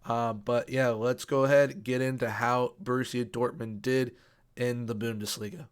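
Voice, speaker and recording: A young man talks through a video game in a casual, upbeat commentary, doubling words for emphasis. His voice is smooth and clean but held in a narrow, flat melody, and it sounds a little worn, as if he has been losing it lately. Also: mid-range voice, normal speed. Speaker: video game tactics youtuber.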